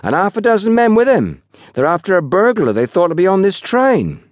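A man's voice: high voice, expressive, brisk, darkish tone, no room sound, pitched above the performer's own voice. A man voicing a young, self-important, sulky railway porter with a colloquial, gossipy manner in a narrated story. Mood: important, surprised.

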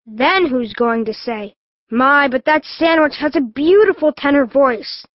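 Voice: high-pitched, unhurried, no room sound, muffled recording. A performer playing a gloomy young male pig in a children's audiobook, theatrical and mournfully complaining. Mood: dreary, despondent. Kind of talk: full-cast children's audiobook acting.

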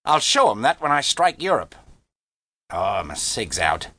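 A man's voice: mid-range voice, wildly swooping pitch, a little slow, bright, forceful tone, no echo, heavily acted. A man voicing a brash, boastful American teenage boy with slangy, show-off talk in an audiobook story. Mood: cocky. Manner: slangy, cocky, showing off.

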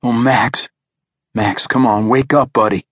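A man's voice: fairly low pitch, normal speed, slightly rough, no room sound, studio quality. A man playing a desperate, protective father in a zombie battle, pleading urgently in everyday words.

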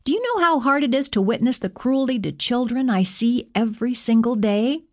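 A woman's voice: mid-range voice, lively intonation, normal speed, a little mellow, dry recording, studio quality, voice pushed up, natural voice. A woman voicing a frustrated, emotional young goddess in an audiobook, with an emphatic, accusing way of talking. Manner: exasperated, emphatic.

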